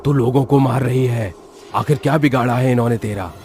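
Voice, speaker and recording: A man's voice: medium pitch, a little fast, a little mellow, a little hiss or hum, close to an everyday voice. A man voicing a commanding, solemn tantric holy man in a horror cartoon story. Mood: stern, defiant. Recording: background music under the voice.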